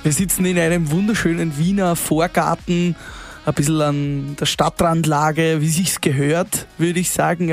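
A man who works as a DJ and presenter, co-hosting a casual chat in a chatty, enthusiastic way. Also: a little high. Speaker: DJ and co-host.

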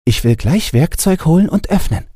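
A man voicing a polite, fussing governess with an excitable manner in a storybook reading. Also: a little high, moderate pace, breathy edge, no room sound, clean studio sound, pitched above the performer's own voice. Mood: excited, curious. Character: polite, fussing young governess, attentive to child.

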